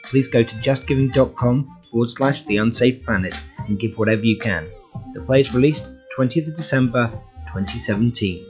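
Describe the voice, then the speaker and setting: A man reading a trailer announcement in a steady, formal way. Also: mid-range voice, a little fast. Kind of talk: scripted announcement. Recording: dull audio with music.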